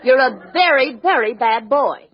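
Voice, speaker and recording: A woman's voice: sits high, lively intonation, a little slow, breathy edge, full character voice. An actress playing an exasperated, bossy mother scolding her boy in a 1940s radio comedy. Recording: noisy, muffled 1940s radio with studio audience.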